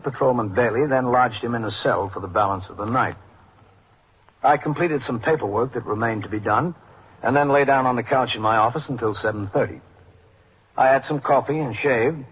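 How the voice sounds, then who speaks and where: A man acting as a sober police captain narrating a case. He is formal, factual and deadpan, in classic radio drama style. The recording is dull with faint noise. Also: mid-range voice, a little fast, close to an everyday voice. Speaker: narrator and lead character. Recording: noisy muffled old radio recording.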